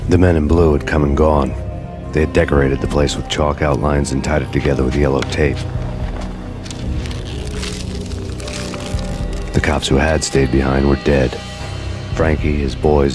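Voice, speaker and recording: A world-weary video game noir cop with an extremely low voice. He narrates in a flat, sardonic deadpan, in short hardboiled sentences, with music clearly playing behind him. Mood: grim. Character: grim, weary, cynical framed cop narrating his story.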